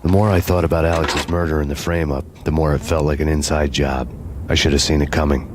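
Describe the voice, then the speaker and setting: An American man playing a brooding, world-weary noir avenger, narrating deadpan in a hardboiled game. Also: rumbling low, fairly quick, noisy background. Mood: brooding, bleak.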